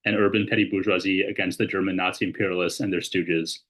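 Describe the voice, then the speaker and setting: A man appearing as a podcast guest, explaining in a measured way and reading quotes aloud. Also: low-pitched, flat delivery, fairly bright tone. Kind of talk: casual discussion, reading quotes.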